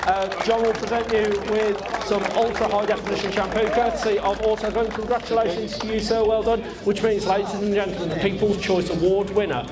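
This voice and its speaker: A man's voice: sits high, flat delivery, brisk, hardly any pauses, very noisy. A man compering an outdoor car show award, coaxing and rallying the crowd, with a chesty cough. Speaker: car show presenter and video host.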